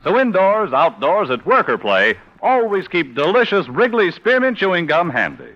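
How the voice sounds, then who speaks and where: A man's voice: sits high, wildly swooping pitch, moderate pace. An American man announcing in 1950s radio style, reading sponsor messages and credits in a polished, upbeat way. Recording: muffled old radio broadcast with music.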